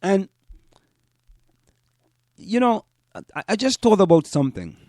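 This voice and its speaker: High-pitched man, deliberate talker. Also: wildly swooping pitch, darkish tone, dry recording, clean studio sound. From Trinidad and Tobago. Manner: deliberate, repeats phrases for emphasis. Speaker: host of a community parents' talk show. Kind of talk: spoken commentary to listeners.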